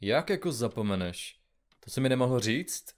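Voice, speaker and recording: A man playing an impatient, grumpy investigative journalist in an audio comedy drama, with a gruff, curt, colloquial manner. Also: medium pitch, sing-song, close to an everyday voice. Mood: impatient, urgent.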